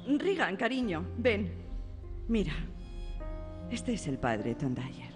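An actress playing a tender, weary mother in a radio drama, speaking softly and politely. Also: medium pitch, sing-song, slow, over music. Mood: subdued, tender. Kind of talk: Spanish radio drama acting.

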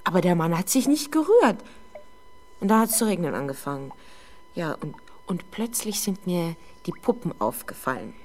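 A young woman playing a frightened, defensive teenage girl under police questioning in a 1970s German radio drama, halting and easily exasperated. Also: fairly low pitch, big pitch swings, darkish tone, slight background noise. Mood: haunted, agitated. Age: young adult. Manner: hesitant, halting, exasperated when doubted.

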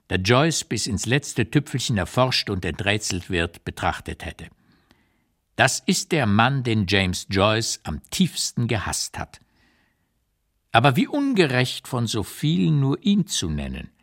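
A man playing an old émigré writer who recalls wartime years in a radio reading, with deliberate, emphatic, literary phrasing and an unsparing eye. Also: medium pitch, big pitch swings, fairly bright tone, full character voice. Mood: wry, observant. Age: older. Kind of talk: dramatised literary memoir reading, radio play.

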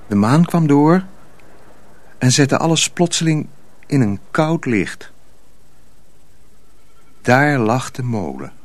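A man narrating a radio drama as a scripted storyteller. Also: medium pitch.

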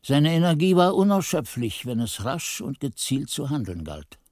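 An older male actor playing an aged monk who narrates memories of his youth in a measured, literary radio play style. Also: on the high side, sing-song, a little mellow, no echo, clean studio sound. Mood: reflective. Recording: 1980s radio play with faint music.

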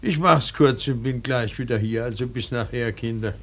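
An actor playing a grave, composed middle-aged father in grief, speaking in a measured, fatherly way in a German radio play. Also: medium pitch, fairly bright tone. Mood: kindly, composed.

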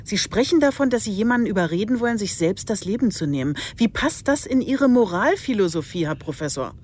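A woman's voice: mid-range voice, lively intonation, fairly quick, a little mellow, a little hiss or hum, natural voice. Actress as blunt female chief inspector. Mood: insistent, reproachful. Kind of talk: radio drama dialogue.

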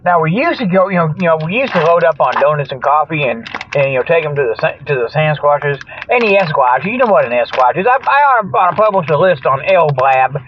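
A man's voice: on the high side, big pitch swings, fairly quick, few pauses, slight background noise. An older man hosting a comic radio show in a rambling, folksy, joking monologue, often losing his thread. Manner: folksy, jokey, chuckles at own jokes, loses his train of thought. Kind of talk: rambling tongue-in-cheek radio monologue with mock sponsor plugs. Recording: dull, lo-fi, dry radio sound.

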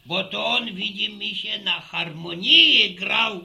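A man playing an old village grandfather in a radio drama, folksy and teasing, with a slow, creaky delivery. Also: high-pitched, bright, forceful tone, full character voice. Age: older. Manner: slow, folksy rural talk. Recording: echoey, slightly distant radio recording.

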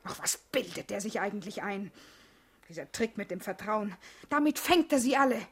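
An actress playing a playful, mocking young wife in a German radio play, coquettish, ironic and teasing. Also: female voice, mid-range voice, lively intonation, normal speed, a little hiss or hum, close to an everyday voice. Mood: amused, teasing.

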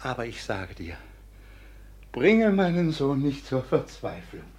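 An actor playing a frail, honourable old father in a classical radio play, solemn and full of pathos, with a husky, breathy voice. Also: mid-range voice, very animated, slow, darkish tone, heavily acted. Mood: anguished pleading. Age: older.

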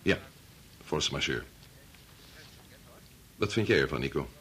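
An actor playing a grave middle-aged chief bacteriologist and family man torn by conscience, speaking formally and deliberately in a radio play. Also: a bass voice, animated, pushed and bright, a little hiss or hum, exaggerated voice. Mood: weary, reluctant. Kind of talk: Dutch radio play acting.

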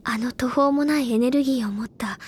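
A woman playing an earnest, imaginative high-school senior girl in an audio drama, speaking deliberately in literary, feminine phrasing. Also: slightly rough. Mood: grave, intent. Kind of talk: Japanese audio drama acting, dramatic explanatory monologue. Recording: loud music bed behind the voice.

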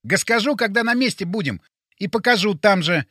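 A man voicing a bossy, excitable, self-important male sidekick with a speech impediment on his R sounds, speaking emphatically. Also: high voice, fairly quick, dry recording, clean studio sound, voice pushed up, heavily acted. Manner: emphatic, insistent.

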